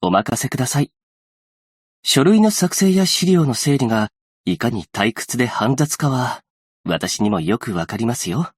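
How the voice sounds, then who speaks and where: Game role: gentle young male aid worker, earnest and patient, polite delivery, slightly husky, very dry recording. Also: mid-range voice, sing-song, no room sound. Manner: polite, soft-spoken, measured formal speech. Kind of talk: scripted game character voice lines.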